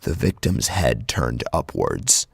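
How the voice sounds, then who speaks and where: Deep, gravelly male horror narrator. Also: big pitch swings, slow pace, no room sound, clean studio sound. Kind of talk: dramatic scripted first-person narration. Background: background music.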